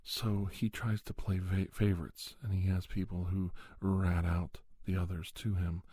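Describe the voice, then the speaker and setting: Man, ASMR monologue, dark mellow tone, creaky fry, measured reflective pacing. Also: low voice, level tone, dry recording. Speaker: ASMR podcast host.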